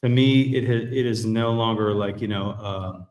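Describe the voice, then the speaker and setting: A man hosting a live men's group talk show, chatting in a relaxed, rambling way. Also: lowish voice, moderate pace, roomy sound. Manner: relaxed, rambling, self-correcting. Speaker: host. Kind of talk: casual live chat.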